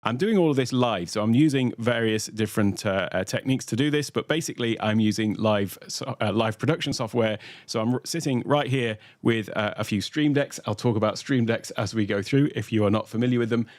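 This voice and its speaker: A middle-aged British man from the UK hosting a live online tech workshop from his home studio, talking in a relaxed, conversational way with lots of "uh" fillers. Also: medium pitch, brisk, few pauses, no echo. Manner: relaxed, conversational, many "uh" fillers, greets chat viewers. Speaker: workshop host and tech presenter.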